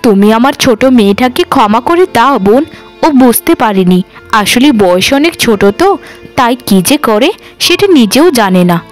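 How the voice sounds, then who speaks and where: High-voiced, plaintive poor village woman by an actress. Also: animated, moderate pace, faint music behind, lightly acted. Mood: apologetic. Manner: pleading, deferential, lamenting. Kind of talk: cartoon voice acting.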